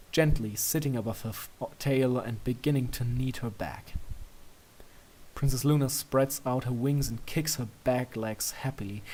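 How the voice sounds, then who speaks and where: A man narrates a piece of fan fiction from a script. His voice sits at a medium pitch, and he reads in a storytelling style. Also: darkish tone, rough recording.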